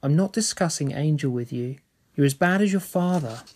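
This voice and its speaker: A man's voice: a little high, expressive, normal speed, darkish tone, pitched above the performer's own voice. A man doing a placid, dismissive mother. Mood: firm. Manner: soothing, dismissive, calls her daughter dear. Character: calm patient middle-aged mother, unbothered.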